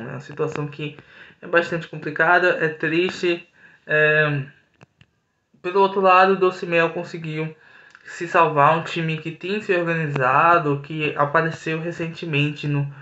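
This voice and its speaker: A man's voice: fairly high pitch, sharp tone. A young man giving an earnest solo football analysis to camera, with frequent hesitations and restarts. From Brazil. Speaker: football commentator. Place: small indoor room. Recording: echoey room, slightly dull audio.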